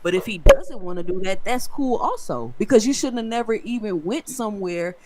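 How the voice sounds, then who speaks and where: A man's voice: high-pitched, very animated, fairly quick, darkish tone. A man co-hosting a boxing podcast, arguing insistently and repeating points for emphasis. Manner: insistent, lecturing, emphatic repetition. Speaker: podcast co-host. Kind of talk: heated casual debate.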